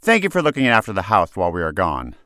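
A man's voice: low-pitched, huge pitch swings, quick pace, pushed and bright, no echo, clean studio sound, full character voice. An actor playing a smug, cheating male neighbour in an audio drama.

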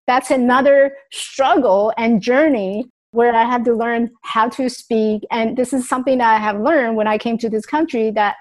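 Woman, raised in Taiwan, earnest reflective storytelling, higher voice, small grammar slips. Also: normal speed, hardly any pauses, low-quality recording. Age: adult. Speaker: speaker sharing her life story. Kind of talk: personal storytelling talk.

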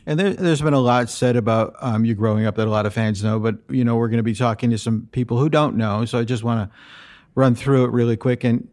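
A man's voice: mid-range voice, steady tone, fast, darkish tone. A middle-aged man interviewing a singer, chatty and full of compliments, with frequent ums. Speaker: radio interviewer.

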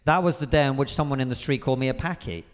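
A man, a comedian guest in a live stage chat, talks in a forthright, emphatic way and swears freely. His voice sits at an ordinary pitch. The hall leaves a long reverb tail on every word. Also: rapid-fire. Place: live venue stage before an audience.

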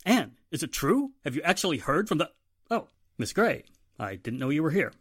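A man's voice: fairly high pitch, sing-song, normal speed, breathy edge. A man playing an earnest, take-charge police inspector in a 1940s-style radio drama. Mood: urgent.

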